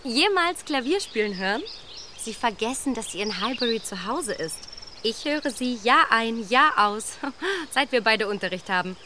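A woman's voice: a little high, animated, moderate pace, noisy background, natural voice. An actress playing a clever young lady in a radio play, probing and ironic in her questions.

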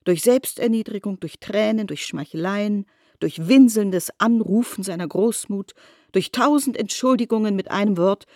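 A woman narrating a literary radio drama in deliberate, elevated phrasing. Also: medium pitch, mellow, no room sound, clean studio sound.

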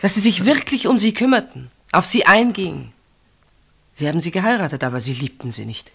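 A woman's voice: on the low side, expressive, fairly quick, creaky, husky, heavily acted. An actress playing a guarded sister-in-law in a German radio play, defending her sister. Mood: quietly reproachful.

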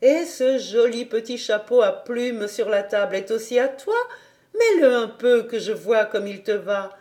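A woman's voice: a little high, expressive, measured pace, mellow. A woman voicing an astonished, chatty village mother in a storybook reading, exclaiming and fussing.

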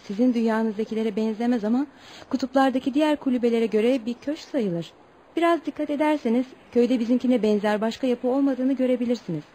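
A woman's voice: on the high side, fairly quick, mellow, close to an everyday voice. An actress in a Turkish radio play voicing a young Eskimo girl, formal and polite, proud and eager in a naive way. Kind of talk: Turkish radio theatre acting. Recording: noisy radio play recording.

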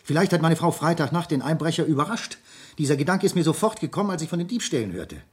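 Very hoarse-toned, anxious businessman. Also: a little high, lively intonation. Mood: urgent, deflecting. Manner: formal, deliberate, defensive. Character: formal, anxious businessman under murder suspicion. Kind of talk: 1970s German radio crime drama acting.

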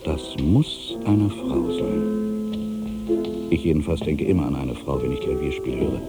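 An actor playing an irritable, brooding ageing writer, delivering a theatrical literary monologue for a radio play, moving between exclamations and hushed asides. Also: male voice, rumbling low, wildly swooping pitch, takes it slowly, over loud music, exaggerated voice. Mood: musing, tender. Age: older. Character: ageing writer, musing, irritable, suspicious, quietly superstitious.

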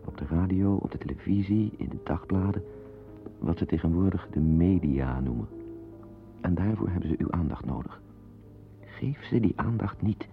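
An actor playing a nervous, reclusive henpecked husband in a radio play, with a hesitant, apologetic, wry manner. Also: male voice, sits low, normal speed, gravelly. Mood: earnest pleading. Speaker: radio play narrator and lead. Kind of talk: radio drama monologue and dialogue. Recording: dull lo-fi radio play, music behind.

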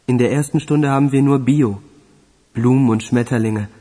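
A man's voice: mid-range voice, moderate pace, warm tone, natural voice. A young male performer playing a cocky, earnest schoolboy in a German radio drama. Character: cocky, earnest schoolboy keen on cars and guns. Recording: roomy, reverberant acoustics.

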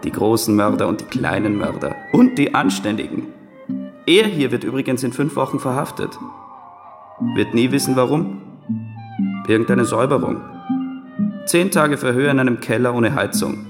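An actor in a radio drama playing a mad murderer speaking from beyond death, detached and fatalistic. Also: male voice, mid-range voice, animated, normal speed, pauses often, natural voice. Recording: long reverb, guitar music behind.

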